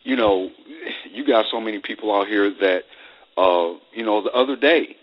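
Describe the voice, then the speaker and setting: A man hosting a casual radio chat, telling things in a colloquial, halting way on a lo-fi recording. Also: on the low side. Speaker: radio host. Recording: dull lo-fi radio phone-in audio.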